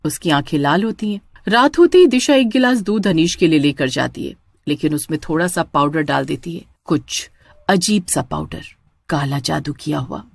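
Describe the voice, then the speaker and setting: A woman's voice: sits low, lively intonation, normal speed, vocal fry, dry recording. A woman narrating a scripted story as storyteller.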